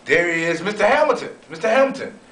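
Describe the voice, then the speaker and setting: A man playing a proud, eager young railroad oilman and inventor in a folksy, expressive amateur sketch read-through. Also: high-pitched, fast. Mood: excited, eager. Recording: roomy, distant, noisy amateur recording.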